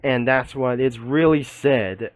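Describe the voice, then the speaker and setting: A man's voice: medium pitch, takes it slowly, dry recording, clean studio sound. A man giving unscripted video commentary in a rambling, roundabout way. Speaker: video creator presenting a comic studio.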